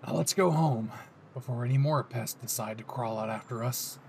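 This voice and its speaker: A man performs a possessive, menacing young anime hero in an audio roleplay. The voice is low and growling, and the delivery is slow and threatening. A noisy ambience sits behind it. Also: creaky, darkish tone, natural voice. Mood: possessive, smug.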